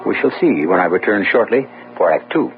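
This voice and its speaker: Low-voiced male radio drama host. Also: lively intonation, fairly quick. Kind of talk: scripted radio narration. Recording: muffled old radio broadcast. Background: music.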